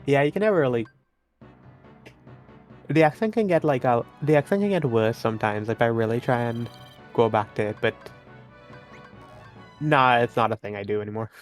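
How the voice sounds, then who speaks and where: A man's voice: medium pitch, normal speed, long pauses, soft background music. A man from Trinidad with a mixed Trinidadian and New York accent, chatting casually on a stream and laughing often. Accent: Trinidadian and New York mix.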